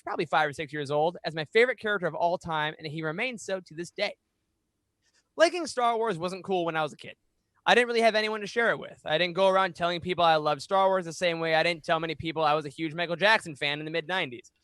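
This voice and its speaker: A man in his thirties hosting a fan podcast, speaking in an animated, emphatic way into a close microphone. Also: high voice, fast, no room sound. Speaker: podcast host. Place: small home room, indoors. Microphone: close studio microphone on boom arm.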